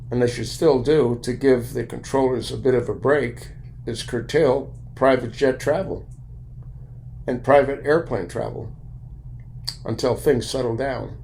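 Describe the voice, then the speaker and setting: Gravelly American man, blunt opinion monologue. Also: mid-range voice, a little mellow. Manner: blunt, opinionated, deliberate phrasing with pauses. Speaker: video commentator and private pilot. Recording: light background noise.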